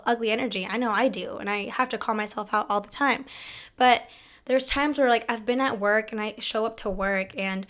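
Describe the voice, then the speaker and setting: Woman, young adult, hoarse recovering voice, slightly high pitch, candid rambling vlog talk. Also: fairly bright tone. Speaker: host. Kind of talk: casual talk to camera.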